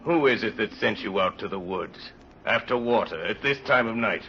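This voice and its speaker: A man acting a kindly, gentle poor traveller in an old radio drama, hesitant and fatherly. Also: lowish voice, expressive, normal speed. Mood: curious, gentle. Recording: dull, faintly noisy old radio recording.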